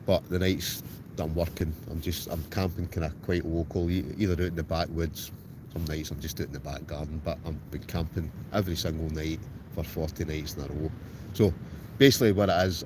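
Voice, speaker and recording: A Scottish man livestreaming from a tent, chatting casually with viewers in a rambling way, with lots of restarts. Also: low voice. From Scotland. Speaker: wild camper livestreaming. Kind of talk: casual livestream chat reading viewer comments. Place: in a tent outdoors in a storm. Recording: loud wind noise around the tent. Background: strong wind.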